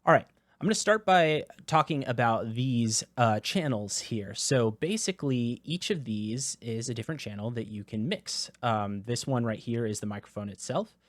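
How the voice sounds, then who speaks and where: Male tech reviewer, mid-pitched, relaxed explainer with fillers, dry close-mic sound. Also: normal speed, no echo. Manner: casual, explanatory, with filler words. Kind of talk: product review walkthrough. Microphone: dynamic USB microphone, close.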